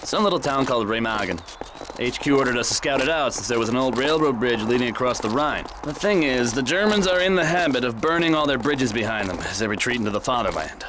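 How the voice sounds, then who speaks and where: An actor playing a battle-weary, stern American army lieutenant in a war video game. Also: male voice, mid-range voice, expressive, quick pace, background noise, close to an everyday voice. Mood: stern, briefing. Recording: loud music behind.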